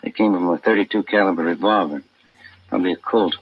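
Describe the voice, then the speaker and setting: An American police detective in a vintage radio crime serial. His voice is low, casual and businesslike, on a dull recording with faint noise. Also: quick pace. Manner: casual, laconic. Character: easygoing, businesslike male police detective partner. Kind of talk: 1950s radio drama acting.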